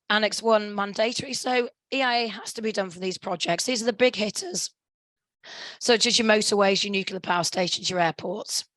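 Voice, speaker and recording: A woman in her fifties presenting a webinar lecture, croaky as she is losing her voice, speaking in a measured way with hesitations and throat clearing. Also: medium pitch, fairly bright tone, no room sound, low-quality recording. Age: 50s.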